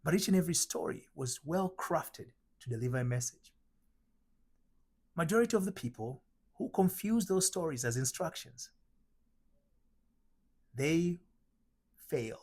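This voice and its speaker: A middle-aged man from Tanzania giving a spiritual teaching talk, slow and emphatic, asking rhetorical questions. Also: a little high, expressive, darkish tone, breathy edge. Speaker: identity transformation coach.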